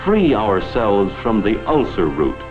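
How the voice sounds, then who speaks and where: A man's voice: on the low side, sing-song, takes it slowly, over music. An American man delivering scripted 1950s promotional film narration in an earnest, oratorical, dramatic manner. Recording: vintage 1950s film soundtrack, muffled.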